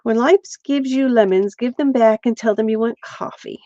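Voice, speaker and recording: A woman's voice: a little high, moderate pace, mellow, no echo, lo-fi sound. A middle-aged woman from Pennsylvania, chatting casually with viewers while she crafts on a livestream. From central Pennsylvania.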